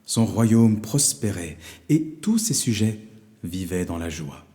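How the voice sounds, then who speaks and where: Male storyteller for children's legends: low-pitched, slow, measured and theatrical delivery. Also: very animated, warm tone. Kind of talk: scripted fairy-tale narration for children.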